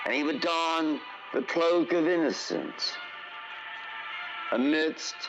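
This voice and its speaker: A man narrating a fantasy tale in radio-theatre style, grandiose and deliberate, with long pauses. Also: medium pitch, dull audio. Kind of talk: dramatic scripted fantasy storytelling, old radio theatre. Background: music under the narration.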